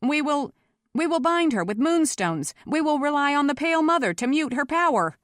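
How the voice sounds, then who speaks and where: A woman's voice: fairly high pitch, lively intonation, normal speed, a bit bright, no echo, studio quality, pitched above the performer's own voice. A woman voicing an earnest, protective townsman in an audiobook, urgent and firm. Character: earnest protective townsman pleading against an angry mob. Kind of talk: audiobook character voice.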